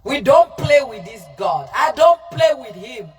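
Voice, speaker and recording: A woman's voice: sits high, sing-song, unhurried, faint music behind. Scolding woman preacher, distant mic. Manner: confrontational, scolding, repeats herself; mixes in Pidgin. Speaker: livestream preacher. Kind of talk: fiery informal sermon, answering live comments.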